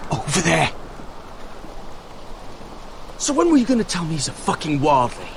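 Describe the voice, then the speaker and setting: An actor playing a gruff, hot-tempered, mistrustful male fighter in a video game. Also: on the high side, huge pitch swings, moderate pace, a little hiss or hum, exaggerated voice. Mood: suspicious, irritated. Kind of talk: dramatic video game acting.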